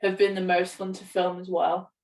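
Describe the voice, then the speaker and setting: A woman's voice: fairly low pitch, little pitch movement, normal speed, darkish tone. A young woman talking casually as a co-host on a podcast. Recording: roomy, distant from the mic.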